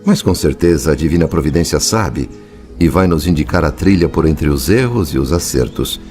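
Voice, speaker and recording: Compassionate male spirit helper played by a man, low voice with animated melody, solemn and consoling, dramatized audiobook. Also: normal speed, faint music behind. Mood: earnest resolve.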